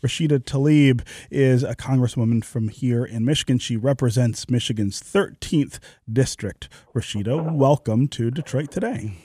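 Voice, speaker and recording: A man hosting a public radio talk show, his voice hoarse and stuffy from a cold, speaking in a measured, conversational way. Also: mid-range voice, warm tone, dry recording, clean studio sound. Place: radio studio. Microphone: studio microphone.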